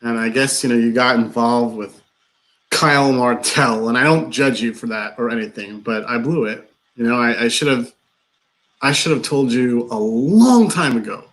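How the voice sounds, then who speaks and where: A man's voice: medium pitch, fairly bright tone, lightly acted, poor audio. Guy acting a nervous awkward dude in a play reading, stumbles along and overexplains everything. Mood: earnest, flustered. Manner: halting, nervous, over-explaining. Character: awkward, rambling man confessing a long-held crush. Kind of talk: live play reading, naturalistic dialogue.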